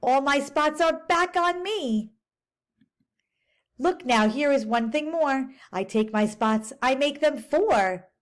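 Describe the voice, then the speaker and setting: Woman doing boastful spotted storybook creature, bouncy rhymes, higher voice, slow. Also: expressive. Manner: bouncy rhyming storybook delivery. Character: boastful spotted male creature, eager show-off.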